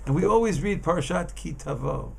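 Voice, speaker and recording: A man giving an informal Torah class as a rabbi, in a halting, emphatic manner that often repeats words. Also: a little high, animated, creaky, a little mellow, slight background noise. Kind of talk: informal religious lesson.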